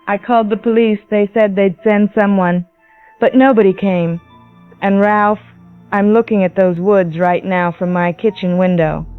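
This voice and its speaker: A woman's voice: medium pitch, fairly even intonation, unhurried, smooth, natural voice. A woman voicing a frightened wife home alone, phoning a late-night radio show in an audio drama over a phone line. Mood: frightened, uneasy. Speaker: radio caller. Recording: thin tinny phone line, music behind.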